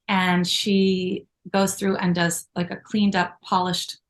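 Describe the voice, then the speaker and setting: A woman's voice: fairly low pitch, level tone, slow. A middle-aged woman, co-founder of a magazine, talking in an interview with a grateful, storytelling manner full of asides. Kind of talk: conversational interview.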